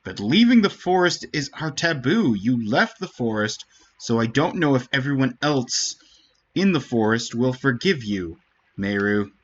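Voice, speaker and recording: A man's voice: mid-range voice, big pitch swings, a little slow. A man voicing an earnest, pleading young male forest dweller from a fantasy game, on dull stream audio. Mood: earnest, conflicted. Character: Guaraha, earnest young male Wingly, emotional. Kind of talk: dramatic read-aloud of game dialogue.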